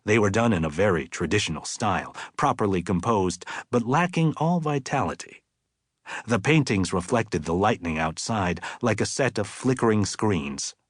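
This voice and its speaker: A man reading literary fiction as an audiobook narrator, in a measured, deliberate and expressive way. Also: lowish voice, no echo, studio quality.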